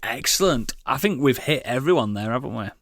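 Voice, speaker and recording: A man's voice: medium pitch, animated, brisk, a bit bright, no room sound, clean studio sound. A British man co-hosting a podcast in casual banter, blunt, teasing and sweary. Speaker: podcast co-host.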